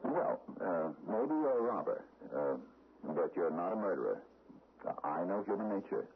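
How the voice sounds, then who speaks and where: Timid, stammering deep-voiced American man. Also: big pitch swings, moderate pace, creaky, full character voice. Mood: fearful pleading. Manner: nervous, stammering, hesitant, placating. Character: timid, stammering mild-mannered man held captive by a gunman. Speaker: actor in a radio mystery play. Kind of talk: 1970s American radio drama acting. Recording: muffled, noisy old radio broadcast recording.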